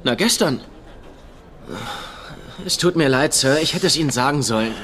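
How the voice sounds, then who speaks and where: A man's voice: fairly high pitch, expressive, moderate pace, a bit bright, slight background noise. An actor playing a cocky, playful young man who poses as a psychic detective, talking in a flippant, theatrical way in a dubbed comedy-drama. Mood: breezy, talkative.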